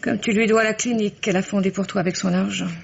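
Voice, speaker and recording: Casting: bitter, plaintive French wife, middle-aged, gravelly edge, vintage radio drama on dull tape. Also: female voice, medium pitch, fairly even intonation, fast talker. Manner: plaintive, sighing, reproachful. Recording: dull, noisy old radio recording.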